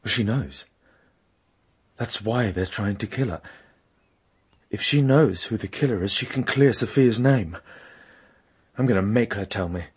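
A man's voice: fairly low pitch, a little slow, pauses often, husky. An actor playing an earnest, troubled young man in a radio drama, speaking with urgent insistence. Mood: urgent, distressed.

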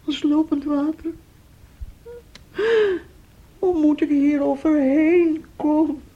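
High-voiced, slow, grieving mother. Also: little pitch movement, lo-fi sound. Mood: urgent, resigned. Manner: tearful, halting, repeats her words. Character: grieving mother, distraught and abandoned by her daughter. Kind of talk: radio drama acting.

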